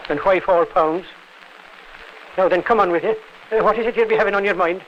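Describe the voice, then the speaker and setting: An actor playing a sly, easygoing Irish lodger with a coaxing, folksy lilt in an old radio crime drama. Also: male voice, high-pitched, brisk, natural voice. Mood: friendly, curious. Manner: coaxing, folksy lilting speech. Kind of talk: 1950s radio drama acting. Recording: muffled, noisy old 1950s radio recording.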